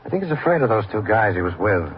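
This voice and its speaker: An actor playing a laconic, understated police detective lieutenant in a classic radio drama. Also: male voice, lowish voice, big pitch swings, fast talker. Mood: dry, resigned. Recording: muffled, hissy old radio recording.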